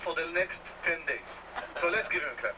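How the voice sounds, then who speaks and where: A man's voice: a little high, expressive. A man from Israel with an Israeli accent, whose first language is Hebrew, giving a chatty, joking welcome talk as a tour guide. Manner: joking, chatty, explains slowly. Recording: distant home video, road noise.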